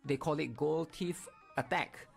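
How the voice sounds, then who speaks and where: A man's voice: a little high, measured pace, creaky, soft background music. A man giving casual, explanatory gameplay commentary, often checking in with his viewers. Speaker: gaming video creator.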